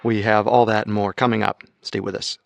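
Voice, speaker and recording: A male podcast host giving measured, announcer-like scripted narration. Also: fairly low pitch, little pitch movement, gravelly, over loud music.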